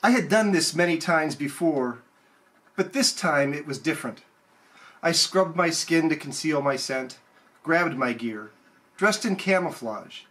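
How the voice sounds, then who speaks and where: A man's voice: fairly high pitch, lively intonation, measured pace, a bit bright. A man who chats casually and reads his own poem at an open mic. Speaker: poet. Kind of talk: casual chat and poem reading.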